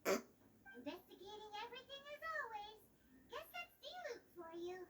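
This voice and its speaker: Tiny squeaky childlike companion. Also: female voice, sing-song, moderate pace, a bit bright, far from the mic, a little hiss or hum, heavily acted. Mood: curious, chirpy. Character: small childlike female floating companion, chirpy and bossy. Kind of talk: video game voice acting.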